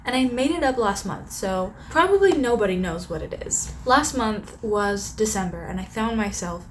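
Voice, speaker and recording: A woman's voice: medium pitch, animated, normal speed, slight background noise. A young woman vlogging at home, chatty and rambling, her voice a little strained from a sore throat. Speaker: craft vlogger. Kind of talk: casual vlog narration.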